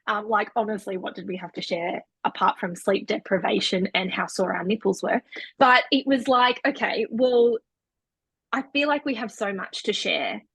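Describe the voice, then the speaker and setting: A woman's voice: medium pitch, moderate pace, gravelly, a bit bright, slightly rough, no echo. A woman in her thirties co-hosting a podcast, speaking in an earnest, uplifting, casual way. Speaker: podcast co-host. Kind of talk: casual chat.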